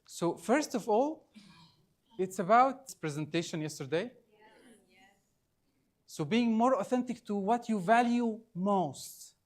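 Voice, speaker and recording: Slow high-voiced trainer. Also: male voice, lively intonation. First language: Arabic. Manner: deliberate, coaxing, quizzes the audience often. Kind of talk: live training workshop.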